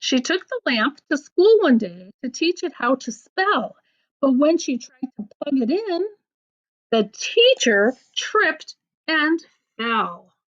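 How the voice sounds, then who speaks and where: Female children's read-aloud storyteller, higher-pitched and very animated, deliberate sing-song phrasing, slightly muffled lo-fi recording. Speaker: teacher reading aloud to children. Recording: dull lo-fi sound.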